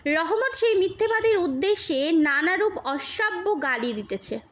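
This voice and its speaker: A woman reading a literary short story as an audiobook narrator, in measured, deliberate phrases with pauses between them. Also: extremely high pitch, animated, a bit bright.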